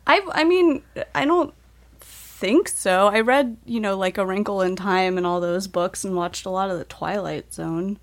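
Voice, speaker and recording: A woman's voice: mid-range voice, measured pace. A young woman co-hosting a podcast in casual chat, laughing often with lots of fillers. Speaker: podcast co-host.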